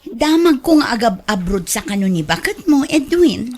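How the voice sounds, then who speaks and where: A woman's voice: fairly high pitch, very animated, moderate pace, darkish tone. A woman playing an outspoken, sceptical, teasing female relative in an Ilocano radio drama, with an Ilocano accent. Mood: disapproving. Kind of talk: Filipino radio drama acting.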